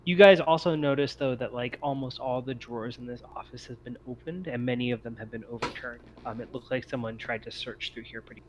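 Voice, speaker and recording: A man's voice: medium pitch, fairly even intonation, brisk, few pauses, background noise. A man working as game master, narrating a tabletop roleplaying game in an improvised, conversational and hesitant way.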